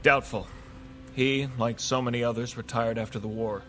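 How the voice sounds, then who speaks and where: An actor playing a serious, resolute strategist hero in a radio drama, speaking in a formal, deliberate way. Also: male voice, medium pitch, steady tone, bright, forceful tone, faint music behind, close to an everyday voice. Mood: grave, composed.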